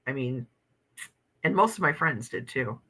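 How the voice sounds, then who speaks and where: A woman's voice: a bass voice, expressive, a little slow, bright, forceful tone, husky. A woman in her fifties hosting a casual livestream, chatting and laughing as she reads out viewer comments. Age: 50s. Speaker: livestream host.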